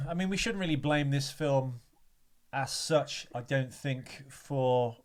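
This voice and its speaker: A man's voice: medium pitch, takes it slowly. Joking, rambling male podcast host. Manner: joking, rambling, hesitant with many ums. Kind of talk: casual film-breakdown chat. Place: remote call.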